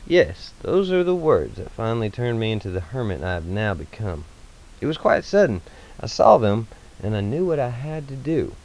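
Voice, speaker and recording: A man voicing an amiable, eccentric, self-mocking hermit in a musing, measured way for a story reading. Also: medium pitch, very animated, darkish tone, poor audio. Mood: earnest musing.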